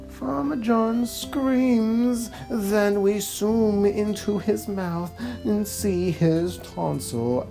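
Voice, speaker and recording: A woman's voice: mid-range voice, dark tone. A woman narrating and hosting a scripted dramatic reading in a pompous, snobby, theatrical manner. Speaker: narrator and host.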